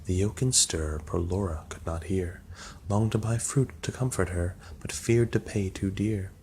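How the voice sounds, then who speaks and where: Deep-voiced man reading poetry, ASMR style. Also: moderate pace, dark tone. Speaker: narrator reading poetry aloud. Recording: clear background noise.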